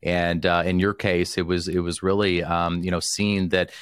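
A man's voice: deep voice, little pitch movement, moderate pace, clean tone, no echo. A man hosting an interview show, talking enthusiastically with frequent fillers and restarts. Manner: enthusiastic, lots of fillers and repeated words. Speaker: host.

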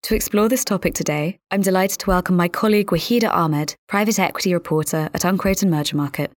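A woman speaking as a podcast host, measured and articulate, in a presenting and interviewing style. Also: on the low side, no room sound. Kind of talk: scripted podcast narration and interviewing.

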